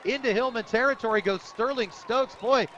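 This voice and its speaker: A man's voice: very high-pitched, moderate pace, pushed and bright. An American man working as a radio play-by-play announcer, giving enthusiastic, descriptive live football commentary outdoors at a stadium. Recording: dull lo-fi outdoor radio broadcast.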